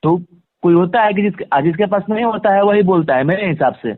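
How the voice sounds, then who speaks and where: Man, emphatic, repetitive phrasing, higher-pitched voice, narrow phone audio, interview guest. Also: brisk, dry recording. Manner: emphatic, repeats phrases for stress. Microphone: phone line. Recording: tinny, band-limited phone call recording.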